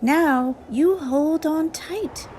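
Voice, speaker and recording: Woman voicing an earnest, high-pitched little fox cub. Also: extremely slow, dark tone, a little hiss or hum. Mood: stern. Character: young male fox cub, earnest, worried, protective.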